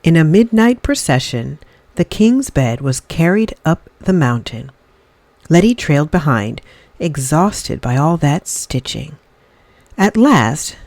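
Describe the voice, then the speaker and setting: Low-pitched, very expressive woman storyteller. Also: vocal fry. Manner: dramatic, deliberate phrasing. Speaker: storyteller reading a picture book aloud. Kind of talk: expressive children's read-aloud narration.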